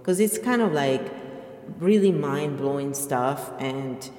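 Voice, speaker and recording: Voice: mid-range voice, animated, takes it slowly, darkish tone. A podcast host reading a book aloud and adding casual commentary, with emphatic stress on key words. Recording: echoey room with long reverb.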